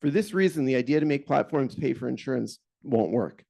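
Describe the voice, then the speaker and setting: Gravelly man making a pointed comment. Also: mid-range voice, a little fast, mellow. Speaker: public speaker at community board meeting. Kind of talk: prepared public comment. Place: meeting hall.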